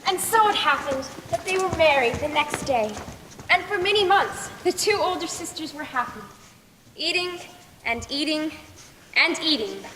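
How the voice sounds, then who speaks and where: High-pitched young girl storyteller, echoey hall. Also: lively intonation, moderate pace, bright, forceful tone. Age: young teen middle schooler. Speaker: storyteller narrator in school play. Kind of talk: scripted stage storytelling. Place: school theater stage. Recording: echoey hall with faint noise.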